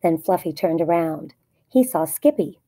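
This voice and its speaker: A woman reads a children's story aloud in short, plain sentences. Her voice sits slightly low for a woman and has a dark, soft tone with a noticeably husky texture. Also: lively intonation, normal speed. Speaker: storybook reader. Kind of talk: scripted children's story narration.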